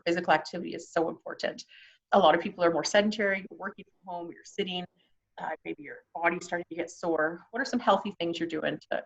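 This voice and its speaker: Casting: female workshop presenter, Canadian accent, encouraging and conversational, on the lower side, muffled lo-fi sound. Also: gravelly. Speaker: workshop presenter on mental health. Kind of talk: informal online workshop presentation.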